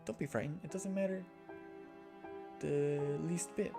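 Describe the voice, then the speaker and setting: A streamer voicing a kind, well-bred little schoolgirl with a gentle, reassuring manner in a visual novel. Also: mid-range voice, steady tone, measured pace, over music, natural voice.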